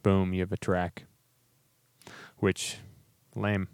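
A hoarse man co-hosting a podcast, chatting casually in a laid-back, joking way. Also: low-pitched, completely flat delivery, slow pace, darkish tone, no echo. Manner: laid-back, joking, trails off mid-sentence. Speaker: podcast co-host. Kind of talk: casual chat.

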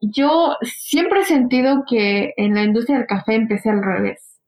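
A woman, co-hosting a podcast and telling her own story in a steady, personal way. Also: medium pitch, poor audio. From Mexico. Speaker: podcast co-host. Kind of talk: casual podcast talk.

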